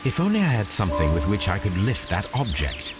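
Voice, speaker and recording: A man playing a keen, coolly analytical British gentleman detective in a video game, speaking precisely and formally. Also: lowish voice, huge pitch swings, fairly quick. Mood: musing, analytical. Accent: British English. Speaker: video game lead character. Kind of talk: scripted game dialogue and musing aloud. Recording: background music under the voice.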